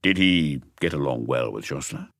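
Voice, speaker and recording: A man voicing a calm police chief who asks short, probing questions in an audiobook mystery. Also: extremely low pitch, normal speed, no echo, studio quality, pitched below the performer's own voice, exaggerated voice. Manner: terse, inquisitive.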